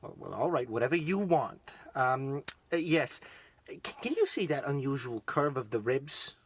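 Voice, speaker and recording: A man's voice: mid-range voice, very animated, normal speed, a little hiss or hum. A man playing a fussy, oddball doctor in a comic old-time radio style, hesitant and stammering, then grandly pronouncing. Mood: flustered. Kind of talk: parody of old radio drama acting.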